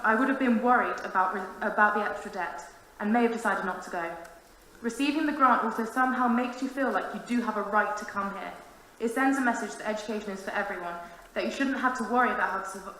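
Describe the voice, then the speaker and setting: A woman's voice: medium pitch, sharp tone. A woman in her twenties reading a prepared, formal, earnest speech to a council meeting as a student union president. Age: 20s. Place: large council meeting room. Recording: very echoey room, faint noise.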